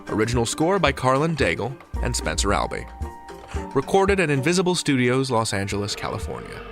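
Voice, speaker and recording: A podcast host reads the closing credits and listener requests in a measured, announcer-like manner. His mid-pitched voice moves up and down expressively. Music plays clearly behind him. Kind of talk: scripted outro and credits reading.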